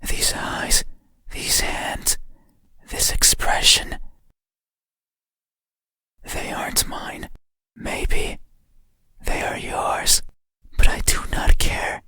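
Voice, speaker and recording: A performer playing a lonely, menacing monster in an ASMR horror game, speaking in breathy whispers with slow, deliberate, halting phrases. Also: sharp tone, dry recording. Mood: accusing, bitter. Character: lonely, menacing bedside monster yearning to be seen.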